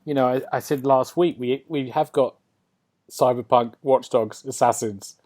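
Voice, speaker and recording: Guy hosting a casual games podcast, medium pitch, kinda rambles as he thinks out loud. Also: fairly even intonation, a little slow. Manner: rambling, thinking aloud, seeking agreement with tag questions. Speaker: podcast host. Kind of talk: casual gaming podcast discussion.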